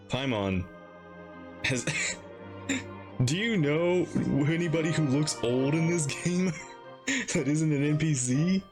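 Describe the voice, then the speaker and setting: A woman reacting casually to a game as a streamer, playful and halting as she thinks aloud. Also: low-pitched, lively intonation, darkish tone, soft background music.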